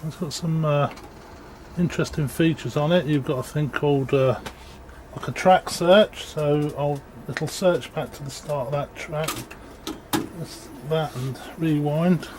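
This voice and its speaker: A man giving a casual, unscripted equipment demonstration in a matter-of-fact, halting way. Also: a little high, a little mellow. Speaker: presenter demonstrating audio equipment. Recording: clearly noisy amateur room recording.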